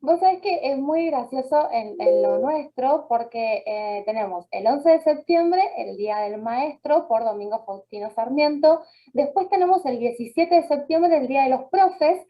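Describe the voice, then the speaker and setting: Argentine lady radio host, laughs a lot, says vos, highish voice, dull lo-fi audio. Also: expressive, normal speed, few pauses. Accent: Argentine Spanish. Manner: laughs often, uses voseo. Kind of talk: friendly radio interview.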